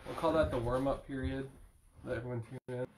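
A man's voice: medium pitch, steady tone, a little fast, noisy background. Upbeat man on a couch, roomy sound. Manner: upbeat, hyped show opener. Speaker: podcast co-host. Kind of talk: casual chat. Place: living room couch, indoors. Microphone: far camera. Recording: roomy living-room echo.

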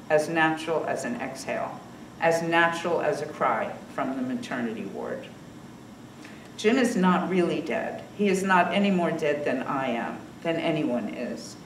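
An older woman reading her own personal essay aloud at a podium, in a measured, reflective way. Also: sits low, slight background noise. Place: small indoor salon with bookshelves and fireplace. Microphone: podium microphone on a stand. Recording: roomy sound from a distance.

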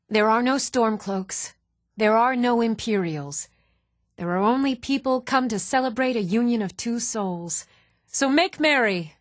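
High-voiced, earnest fantasy game townswoman. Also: no echo, clean studio sound. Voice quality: clear, even-toned. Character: even-toned young Nord townswoman, earnest and polite. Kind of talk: video game character voice lines.

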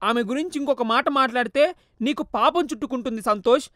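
A firm, wealthy middle-aged father lays out the truth, as a woman voices him. The voice stays high and steady, recorded dry and close, in a storybook cartoon. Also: fairly quick, dry recording, natural voice.

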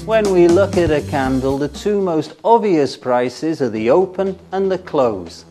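A man's voice: on the high side, lively intonation, unhurried. A man presents scripted educational narration, rhetorical in style and leaning hard on key words. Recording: music under the voice.

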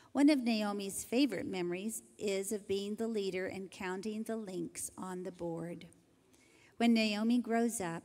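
Older woman teacher in an echoing church. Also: medium pitch. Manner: gentle, measured, reading from notes. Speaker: kindergarten teacher. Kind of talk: reading graduation introductions. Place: large church sanctuary. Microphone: handheld microphone. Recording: hall reverb from a church PA.

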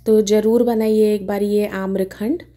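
A woman's voice: medium pitch, fairly even intonation, unhurried, mellow. A woman hosting her own cooking channel, chatting through a recipe step by step in her home kitchen. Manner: chatty, homely step-by-step explaining.

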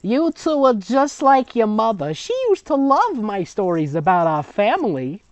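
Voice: medium pitch, very animated, measured pace, no room sound, highs cut off, pitched above the performer's own voice. A reader voicing an elderly grandmother witch, theatrically reminiscing through an exaggerated storybook reading.

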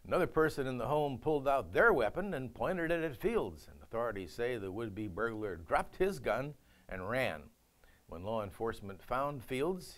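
An older man reads local news from a studio desk with a folksy, easygoing touch. His pitch moves around a lot, rising and falling through each story. He slips little jokes into the copy. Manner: folksy, jokey delivery. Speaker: news anchor.

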